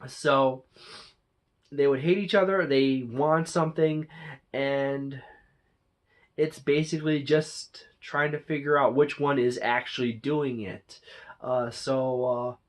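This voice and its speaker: A reviewer hosts a channel with a casual, unscripted monologue. The voice sits at a middling pitch. The delivery is halting and rambling, with frequent self-corrections along the way. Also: steady tone. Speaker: movie reviewer and channel host.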